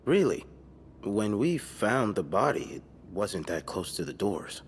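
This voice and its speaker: Skeptical, probing police detective. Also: male voice, on the low side, big pitch swings, unhurried, a little hiss or hum. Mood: suspicious, probing. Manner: pointed, methodical questioning. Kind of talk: naturalistic video game acting.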